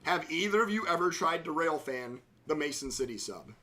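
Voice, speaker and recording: A middle-aged man hosting a live train-watching stream, chatting casually in railroad jargon, his voice slightly hoarse from a cold. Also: a little high, expressive, a little fast, sharp tone. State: slightly hoarse, under the weather.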